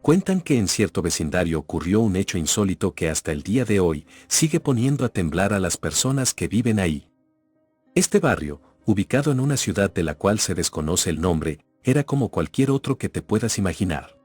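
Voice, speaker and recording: A man tells horror stories from a script. His voice is very low and noticeably husky, and he keeps a measured, ominous storytelling manner. The recording is very dry and close. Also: very animated, darkish tone, no room sound. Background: background music.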